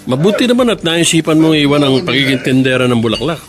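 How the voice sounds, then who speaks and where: An actor playing a bossy construction engineer with a Filipino accent in a radio drama. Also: male voice, fairly high pitch, sing-song, fast. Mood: smooth, flirtatious. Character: bossy, short-tempered male construction engineer, smooth with women. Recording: music behind the voice.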